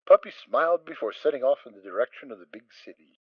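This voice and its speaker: A man's voice: mid-range voice, lively intonation, quick pace, husky, dry recording, lo-fi sound. A man narrating a fan audio drama in a storytelling reading style.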